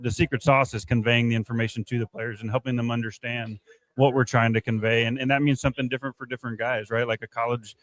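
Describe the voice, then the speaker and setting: Fast-talking man with a flat, even delivery. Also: medium pitch, low-quality recording. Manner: halting, repetitive, filler-heavy phrasing. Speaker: baseball player development director, former player. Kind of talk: unscripted interview answers.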